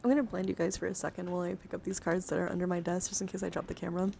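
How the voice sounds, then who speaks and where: A woman livestreamer chatting casually and informally, excitable and prone to swearing, as she talks viewers through her hobby. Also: fairly low pitch, racing, creaky, slight background noise. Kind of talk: casual livestream chat while sorting trading cards.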